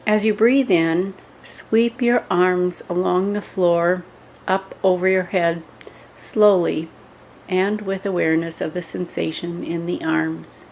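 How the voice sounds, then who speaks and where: A woman giving scripted guided yoga meditation instructions in a measured way, pausing between short phrases. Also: lowish voice, steady tone, gravelly, a little mellow, slight background noise, rough recording.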